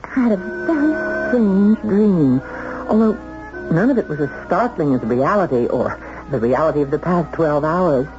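Prim flustered secretary, young woman, animated delivery, 1970s radio acting, dull recording over clear music. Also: low voice, moderate pace. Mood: breathless, intrigued. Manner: proper diction, hesitant, indignant.

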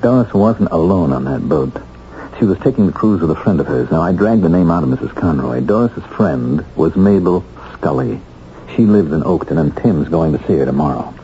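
An American actor playing an earnest husband determined to clear his name in a 1970s radio drama, speaking deliberately with weighted pauses. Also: low voice, sing-song, warm tone, full character voice. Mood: intent, suspicious. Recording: muffled, noisy 1970s radio broadcast.

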